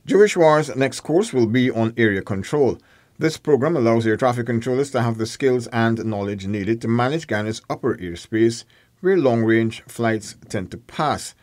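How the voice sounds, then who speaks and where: A man narrates a news feature from a script. His pitch is average for a man, and the voice-over is recorded very dry. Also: lively intonation, normal speed, a little mellow, dry recording.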